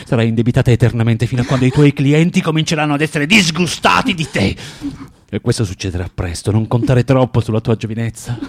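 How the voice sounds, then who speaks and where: A man's voice: mid-range voice, slightly rough. An actor playing a bitter, tormented man in a radio drama, swinging between menacing mutters and dramatic outbursts. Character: bitter, tormented man, cruel then self-loathing. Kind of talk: theatrical radio drama acting.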